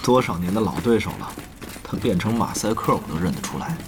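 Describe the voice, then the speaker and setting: Brash, animated guild leader. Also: male voice, low-pitched, a little fast, background noise. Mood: bantering. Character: male gamer guild leader, brash, bantering, wily rival. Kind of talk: audio drama acting, casual banter. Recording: music under the dialogue.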